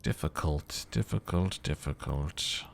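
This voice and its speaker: Man, very low pitch, creaky gravelly tone, game playthrough host, casual commentary with dramatic read-aloud narration. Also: slow, slight background noise. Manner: thinks aloud, muttering and humming to himself.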